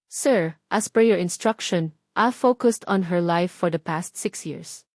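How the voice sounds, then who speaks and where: A woman's voice: fairly low pitch, takes it slowly, no room sound. A businesslike Filipino investigator , read out by a woman narrator, delivers a formal report in a story. The delivery is matter-of-fact and the sound is dry and close. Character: businesslike male investigator reporting to his boss.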